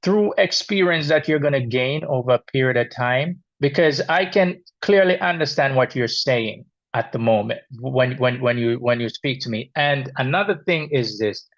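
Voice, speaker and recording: A man's voice: mid-range voice, fairly bright tone. A man from Jamaica with a Jamaican accent, speaking plainly and practically about his trade to a church group. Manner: plain-spoken and practical, with many restarts. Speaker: guest speaker, exterminator. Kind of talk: informal talk to a church group. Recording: dull, lo-fi audio.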